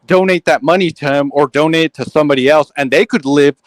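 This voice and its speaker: A man hosting a casual online group chat, talking fast and often repeating his opening words. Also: a little high, dry recording. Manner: quick, repeats starting words, lots of "um" and "like".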